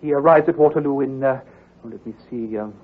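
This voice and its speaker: An actor playing an earnest, troubled country doctor who speaks gravely and precisely, with some hesitation, in old-time radio drama style. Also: male voice, medium pitch, brisk, lightly acted. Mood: worried. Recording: muffled, husky old radio recording.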